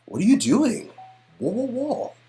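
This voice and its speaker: A man playing a flirty, excitable and slightly nervous theatergoer on a date in a story game. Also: very high voice, measured pace, soft background music, voice pushed up, heavily acted. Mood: excited, nervous.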